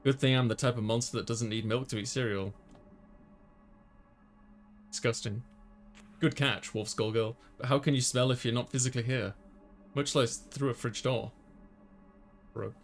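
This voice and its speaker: A man hosts a gaming video, reading visual novel narration and dialogue aloud. His pitch sits at a middling level, and he slips in casual asides. Music plays behind him. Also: steady tone, normal speed, long pauses.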